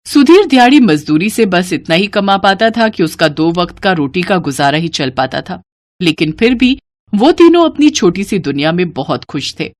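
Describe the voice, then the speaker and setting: A woman's voice: fairly low pitch, big pitch swings, moderate pace, no echo. A woman reading a scripted moral story as its narrator.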